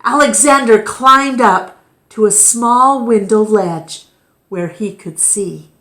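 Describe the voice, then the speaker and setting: A woman reading a children's story aloud expressively, with deliberate, dramatic phrasing. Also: a little high, a bit bright. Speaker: storybook reader, storytime host. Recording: echoey room.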